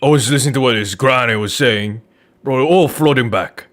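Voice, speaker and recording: A man voicing a plain-spoken working man on a game jury, talking in a halting, casual way. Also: medium pitch, fairly bright tone, a little hiss or hum, natural voice. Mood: dawning recollection. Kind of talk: video game character voicing.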